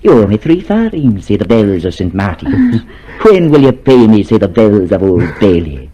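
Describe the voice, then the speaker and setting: A grave underground leader in vintage radio drama style. His voice is dark and husky, moving widely in pitch as he questions and instructs with solemn authority. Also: sing-song, low-quality recording. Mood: reciting. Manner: solemn, deliberate, interrogating. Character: grave, commanding male leader of a secret underground. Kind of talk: old radio drama acting.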